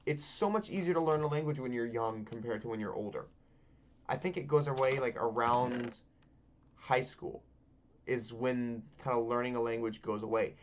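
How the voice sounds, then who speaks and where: A man's voice: medium pitch, normal speed, a little hiss or hum. A man, chatting loosely over gameplay, full of ums, likes and casual swearing. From Texas. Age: 20s. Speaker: gaming channel host.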